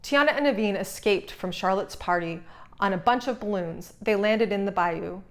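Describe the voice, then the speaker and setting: A woman's voice: medium pitch, moderate pace. A woman narrating a scripted children's story as a read-aloud. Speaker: storybook reader.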